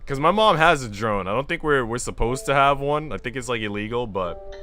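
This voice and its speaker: A man's voice: medium pitch, lively intonation, a little fast, pushed and bright. A man streaming a visual novel, moving between reading the story aloud and casual, slangy, chatty asides. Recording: music behind the voice. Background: music.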